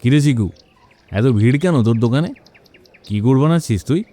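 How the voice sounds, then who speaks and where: A man voicing a gruff, suspicious older tradesman in a cartoon, scolding and questioning. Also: medium pitch, animated, normal speed, mellow, lightly acted. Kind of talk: cartoon story voice acting.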